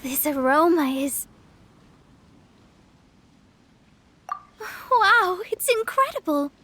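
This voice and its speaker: Haughty high-voiced young astrologist. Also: female voice, sing-song, unhurried, bright, forceful tone, a little hiss or hum, exaggerated voice. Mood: reluctantly delighted. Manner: formal, pompous, defensive. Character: proud young female astrologist, haughty yet flustered. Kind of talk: anime video game voice acting.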